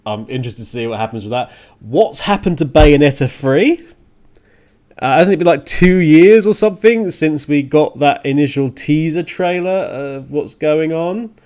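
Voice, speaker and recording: A man's voice: a little high, moderate pace, darkish tone. A man hosting a radio show solo in a casual, rambling way, thinking aloud and correcting himself as he goes. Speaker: radio show and podcast host.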